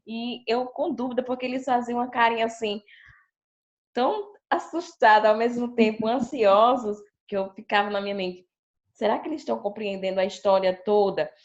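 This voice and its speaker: A woman's voice: fairly high pitch, fairly quick. A woman teacher with a Brazilian Portuguese accent, chatting about her classroom and acting out her pupils' quoted remarks. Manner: chatty, animated retelling with quoted pupil voices. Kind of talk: sharing classroom experience on a video call. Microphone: video call. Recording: dull lo-fi video call audio.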